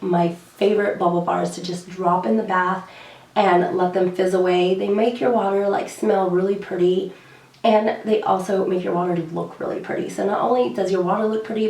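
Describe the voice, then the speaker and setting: A young woman with a hoarse, gravelly voice talks through her beauty favorites in a loose, chatty way, full of fillers and repeated words. She sits a little back from the mic, and the room around her rings with echo. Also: mid-range voice, flat delivery, moderate pace, darkish tone, far from the mic. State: hoarse, recovering from lost voice. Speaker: beauty vlogger. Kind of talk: casual chat to camera.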